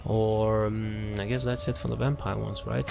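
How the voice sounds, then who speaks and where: Low, slightly gravelly man talking through a game build. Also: level tone, moderate pace. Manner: rambling, repeats words and phrases while searching. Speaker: video game build guide creator. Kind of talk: casual, unscripted game build walkthrough commentary. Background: background music.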